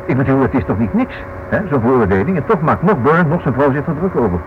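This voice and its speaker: A man's voice: medium pitch, animated, fast, narrow, tinny audio, lightly acted. Actor as insistent man, noisy old radio recording. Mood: excited reasoning. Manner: thinks aloud, insistent, repeats himself. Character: inquisitive, stubborn married man writing a book. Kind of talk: radio play acting.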